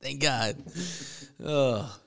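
A man's voice: medium pitch, very slow, gravelly. A man co-hosting a casual podcast, teasing his partner with crude jokes and laughter. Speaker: podcast co-host. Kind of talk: casual comedic chat.

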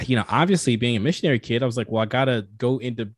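A man's voice: mid-range voice, rapid-fire, no room sound, clean studio sound. A young man from Tanzania, a podcast guest, telling stories in a laid-back, slangy way. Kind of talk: casual chat.

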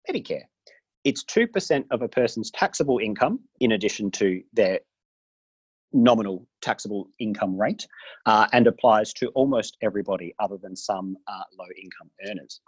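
A man, an official calmly explaining government health insurance rules in an interview, measured and careful. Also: medium pitch, breathy edge, no echo, lo-fi sound.

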